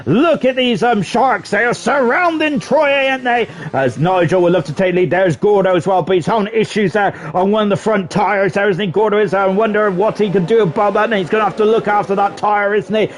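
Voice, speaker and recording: A man giving live sim racing commentary in a chatty, excitable way, often ending sentences with tag questions. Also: high voice, a little fast, few pauses. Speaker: esports race commentator.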